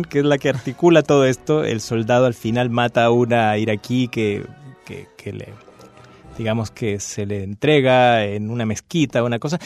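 A man's voice: a little high, darkish tone. A Chilean man on a university radio show, reading passionately with a repeating cadence, then chatting about a novel. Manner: impassioned, repetitive cadence when reading. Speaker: radio guest discussing a novel. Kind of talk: dramatic reading then casual talk.

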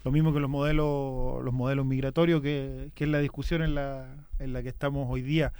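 A man co-hosting an interview, asking questions in a halting way as he searches for words. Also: medium pitch, little pitch movement, darkish tone, clear, resonant tone, no room sound. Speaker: co-host. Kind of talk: conversational interview.

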